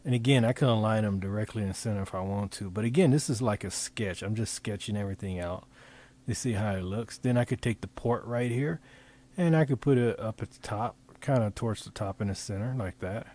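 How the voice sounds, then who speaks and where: A man talking through an informal software demonstration, improvising in a halting way as he thinks aloud and repeats phrases. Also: lowish voice. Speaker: presenter demonstrating design software. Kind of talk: informal screen-recording tutorial, improvised.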